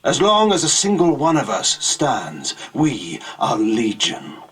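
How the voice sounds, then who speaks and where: Ancient vampire lord, husky, slow and grand, lively swooping delivery, game audio from a distance. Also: male voice, medium pitch, full character voice. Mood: grandiose. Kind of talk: video game cutscene acting.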